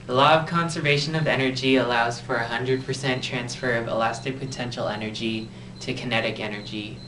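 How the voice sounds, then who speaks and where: A man's voice: medium pitch, steady tone, brisk, sharp tone. Male physics explainer, scripted delivery, echoey amateur sound with faint noise. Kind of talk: scripted educational narration. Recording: roomy, distant, noisy amateur recording.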